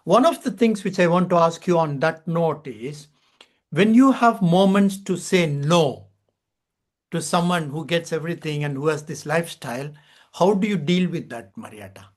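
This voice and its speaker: Guy interviewer, voice a bit high, wordy and reflective, rambly questions. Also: animated, moderate pace. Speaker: interview host. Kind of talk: conversational interviewing.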